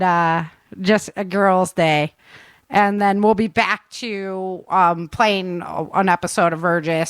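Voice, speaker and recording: A woman's voice: mid-range voice, a bit bright, smooth, no room sound. A woman hosting a podcast in casual, upbeat and informal chat. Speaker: podcast host.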